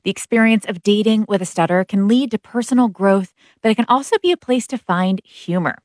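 A woman hosting a radio interview show, reading measured intros and asking questions. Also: mid-range voice, darkish tone, dry recording, studio quality.

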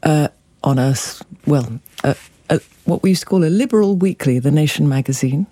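A woman's voice: sits low, lively intonation, moderate pace, dark tone, no room sound. A woman with Greek as her first language, a journalist and radio documentary maker, speaking reflectively as a guest with hesitant restarts. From Greek family, lived in New York and London. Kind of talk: conversational interview.

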